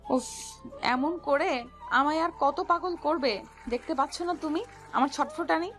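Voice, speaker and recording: A woman's voice: high-pitched, a little slow, fairly bright tone. A woman playing a playful, impatient newlywed bride in a romantic audio story, teasing and exasperated. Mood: playful scolding. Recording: music bed under the voice. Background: music.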